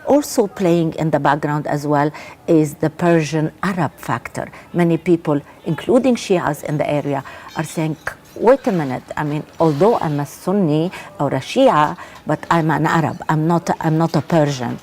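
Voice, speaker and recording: A woman's voice: sits low, moderate pace, darkish tone. A woman from Lebanon with a Lebanese accent, giving analysis as a guest in a live news interview, emphatic and often repeating her words. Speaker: journalist, guest commentator.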